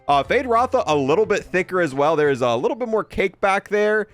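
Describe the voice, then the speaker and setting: Male game reviewer, highish voice, animated intonation, informal and wry. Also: a little fast, faint music behind. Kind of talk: casual gameplay commentary.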